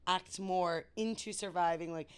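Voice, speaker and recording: A young woman in her twenties co-hosting a podcast, telling stories in a lively, casual way with lots of "like". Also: fairly low pitch, unhurried, clear, resonant tone. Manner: animated storyteller, says "like" a lot. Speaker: podcast co-host. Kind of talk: casual chat.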